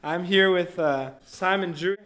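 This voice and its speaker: A young man hosting an interview in a casual, friendly way, encouraging his guest, whose "you know" asides tail off quietly. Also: high-pitched, measured pace, a crowd in the background. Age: young adult. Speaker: interview host.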